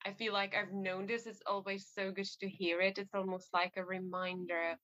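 A woman from the Republic, hosting an interview in an earnest, soft manner full of fillers. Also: mid-range voice, little pitch movement, normal speed, dry recording. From Czech Republic. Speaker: podcast host. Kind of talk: reflective interview conversation. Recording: dull lo-fi sound.

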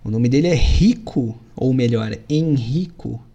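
A man with a Brazilian Portuguese accent, running a tabletop role-playing session as game master and telling the scene with lively storytelling. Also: a little slow, gravelly. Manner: animated storytelling with sudden lifts in pitch.

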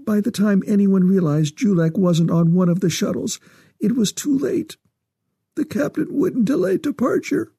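Man voicing a tearful, pleading mother, husky and high. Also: unhurried, mellow, dry recording, exaggerated voice. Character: tearful grieving mother, anxious and pleading for her lost son.

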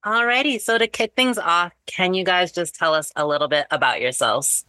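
A woman's voice: on the low side, normal speed, bright, forceful tone, dry recording. A woman co-hosting a podcast, chatty and bubbly, often laughing as she talks with her guests. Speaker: podcast co-host. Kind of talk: casual podcast interview.

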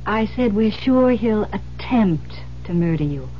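A woman playing a composed, determined confidante in an old-time radio thriller, earnest, deliberate and reassuring. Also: medium pitch, animated, close to an everyday voice. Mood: earnest, insistent. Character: Iris, composed, determined woman coaching a frightened friend. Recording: muffled old radio recording with noise, hum.

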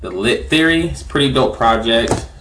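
A man's voice: medium pitch, moderate pace, noisy background. A man hosting a music podcast in a casual, slangy, profane and repetitive way. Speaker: podcast host.

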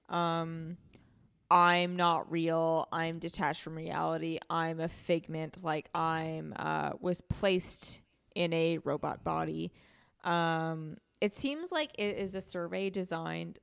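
A woman's voice: on the low side, gravelly, clean tone. A woman talking casually and informally as a podcast guest discussing a video game, often voicing her own thoughts as she goes.

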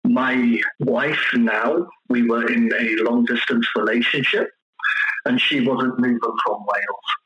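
A man's voice: mid-range voice, fairly even intonation, slow pace, reverberant, highs cut off, background noise. A man with an English accent, a pastor answering interview questions in a friendly, chatty way. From east of England. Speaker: pastor, podcast guest.

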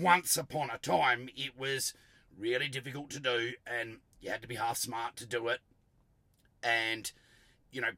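Australian male podcast host, middle-aged, gravelly with a sore throat; punchy bright tone, blunt and salty, very dry recording. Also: mid-range voice, dry recording. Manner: blunt, emphatic, salty-tongued. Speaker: host of an automotive tuning show. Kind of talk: casual solo podcast talk.

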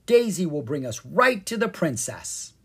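A storyteller voicing an eager, cheerful young boy in a children's storybook, emphatic and excitable. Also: mid-range voice, unhurried, husky, pitched above the performer's own voice, heavily acted. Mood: confident, cheerful.

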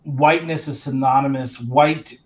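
Animated professor explaining on a podcast. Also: male voice, medium pitch, moderate pace. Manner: animated, explains ideas like a teacher, says "dude" and "man". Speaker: college professor. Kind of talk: casual podcast chat.

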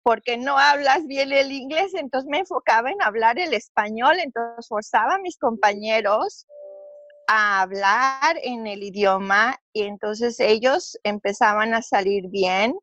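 A woman's voice: on the high side, unhurried, fairly bright tone, no room sound, muffled recording. A middle-aged woman from Mexico with a Mexican Spanish accent, telling her story earnestly as an interview guest, with fillers and restarts.

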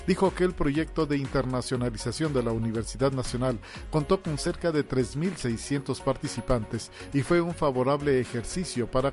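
Mexican guy reading radio news script, mid pitch, soft music underneath. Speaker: news reader. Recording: music bed behind the voice.

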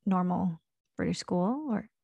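A woman's voice: fairly low pitch, a little slow, gravelly, warm tone, breathy edge, no room sound, dull audio. A woman hosting a podcast who asks curious, conversational questions. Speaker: podcast host. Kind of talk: casual interview questions.

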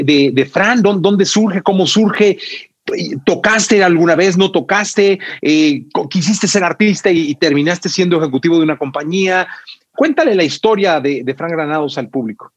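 A man's voice: high-pitched, slightly rough, no echo. A man with a Mexican Spanish accent hosting a podcast in a friendly, informal way, with casual slang. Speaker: podcast host.

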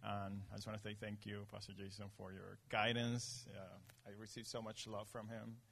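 A man from Venezuela with a Venezuelan Spanish accent, Spanish his first language, preaching a sermon in an earnest, halting way and often restarting his sentences. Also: lowish voice, vocal fry. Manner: earnest, halting, frequent restarts, grows animated. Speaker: guest preacher. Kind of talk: sermon with personal story. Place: church meeting in a gym. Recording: long reverb of a gym.

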